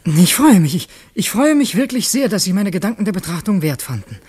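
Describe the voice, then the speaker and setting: A man's voice: high voice, very animated, a little fast, husky, dry recording, exaggerated voice. An actor playing an earnest, conflicted male revolutionary in a radio drama, confiding his thoughts in monologue. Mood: eager, moved. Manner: introspective, dropping into hushed confiding asides.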